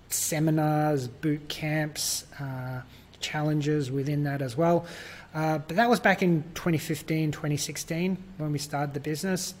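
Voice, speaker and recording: Man, Australian accent, interview guest, fairly high voice, explanatory, lots of fillers. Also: slight background noise. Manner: explanatory, with frequent fillers and light laughs. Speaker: guest, virtual events business owner. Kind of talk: conversational interview.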